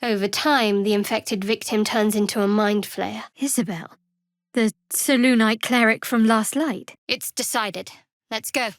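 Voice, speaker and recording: A woman voicing a guarded, wry and secretive young female cleric in a fantasy video game, with a measured, dry delivery. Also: fairly high pitch, steady tone, no room sound. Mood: guarded, musing. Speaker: video game character voice actor. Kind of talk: video game voice lines, dramatic dialogue and spell calls.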